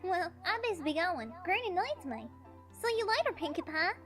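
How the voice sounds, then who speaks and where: A woman's voice: very high-pitched, very animated, moderate pace, fairly bright tone, faint music behind, full character voice. A woman voicing a cheerful, innocent young country filly in a cartoon-style audio drama. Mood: hasty, awkward. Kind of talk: animated fan fiction audio drama.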